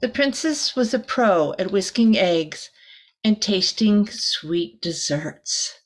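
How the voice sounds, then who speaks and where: A woman reading a picture book aloud to children like a teacher, expressively, with soft chatty asides. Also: medium pitch, animated, takes it slowly, rough recording.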